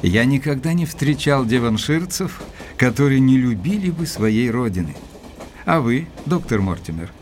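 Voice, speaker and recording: An actor playing an observant gentleman doctor, narrating his adventure in measured, literary fashion for an audio drama. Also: mid-range voice, lively intonation, slight background noise, close to an everyday voice. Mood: friendly. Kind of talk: scripted first-person narration in audio drama.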